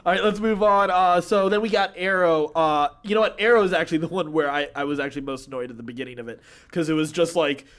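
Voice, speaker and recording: A man, an animated and opinionated podcast co-host chatting casually as he recaps TV shows. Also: a little high, fast, few pauses, smooth.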